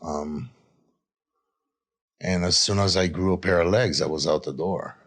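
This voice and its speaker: A man from Israel telling his own story candidly as an interview guest, halting and reflective, often adding "you know". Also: low-pitched, a bit bright. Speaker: addiction recovery coach, interview guest.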